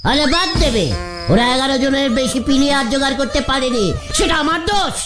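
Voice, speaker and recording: A woman voicing a bossy, scheming, excitable comic uncle in an exaggerated cartoon. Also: high voice, a little fast, natural voice. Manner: bossy, blustering. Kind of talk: exaggerated cartoon comedy dialogue. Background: loud music.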